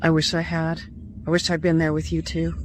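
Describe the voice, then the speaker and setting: A woman playing a gentle, wistful farm wife in a radio drama. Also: low-pitched, monotone, brisk, noisy background, lightly acted.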